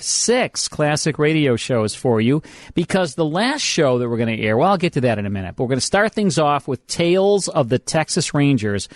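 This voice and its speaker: Male American radio co-host, casual presenting style, mid-pitched voice, close dry studio sound. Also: no echo.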